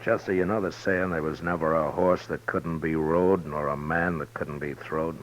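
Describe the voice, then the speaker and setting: An actor playing a stoic, laconic frontier marshal in a 1950s radio western, plainspoken and resolute. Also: male voice, a bass voice, fairly even intonation, moderate pace. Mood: grave, resolute. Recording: muffled noisy old radio recording.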